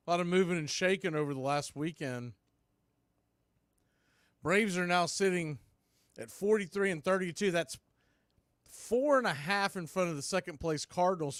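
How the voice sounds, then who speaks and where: Higher-voiced man calling baseball play-by-play. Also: no echo, clean studio sound. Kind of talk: live sports commentary with score updates.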